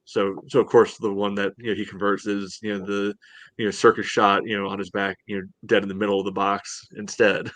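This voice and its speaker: A man's voice: on the low side, flat delivery, a little fast, a bit bright, dry recording. A man co-hosting a sports podcast, chatting casually in a rambling way, peppered with "you know". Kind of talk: casual soccer match discussion.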